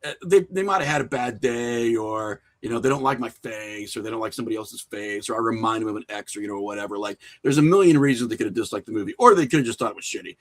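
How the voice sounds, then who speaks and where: A man's voice: fairly low pitch, fast, few pauses. A man talking informally as an interview guest, telling anecdotes in a self-deprecating, conversational way. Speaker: podcast guest, indie filmmaker.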